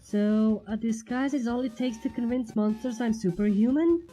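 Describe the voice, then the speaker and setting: A woman's voice: a little high, normal speed, dark tone, faint music behind, close to an everyday voice. A woman voicing a tiny, innocent young female pony who is eager to please, in a story reading. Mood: doubtful.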